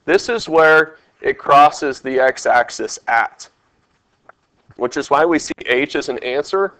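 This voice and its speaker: Congested male teacher, mid pitch, dry close mic, quizzes the class on each step. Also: a bit bright, no room sound, low-quality recording. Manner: talks through steps, quizzing the class. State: stuffed up, congested. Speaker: math teacher. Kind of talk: test review lesson over screen capture.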